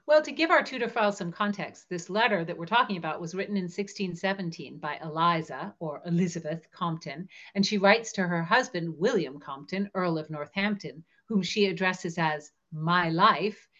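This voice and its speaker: A woman's voice: mid-range voice, a bit bright, lo-fi sound. A woman co-hosting a podcast, explaining things in a conversational style. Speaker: podcast co-host.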